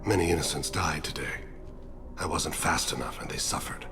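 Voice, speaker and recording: An actor playing a solemn, dying killer for hire seeking atonement, speaking gravely in a video game. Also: male voice, extremely low pitch, moderate pace. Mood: somber remorse. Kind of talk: cinematic game acting. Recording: music under the voice.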